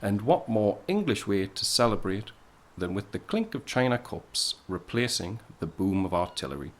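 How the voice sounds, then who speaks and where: A man's voice: lowish voice, big pitch swings, moderate pace. An actor playing a wistful young man home from the war, narrating an audio play as a storyteller. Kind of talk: scripted first-person narration in an audio play.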